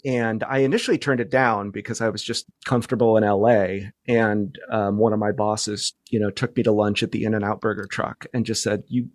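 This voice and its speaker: A man's voice: lowish voice, fairly even intonation, fairly quick, few pauses, no room sound, clean studio sound. A middle-aged American man from California, recounting his career casually as an interview guest. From California, United States. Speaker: podcast guest, journalist.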